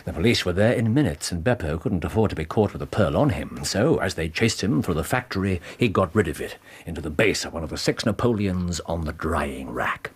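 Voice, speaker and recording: A man's voice: deep voice, expressive, brisk, hardly any pauses, slightly rough, heavily acted. An actor playing a brilliant, brooding English detective in a British radio drama, precise and theatrical in his speech. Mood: brisk explaining.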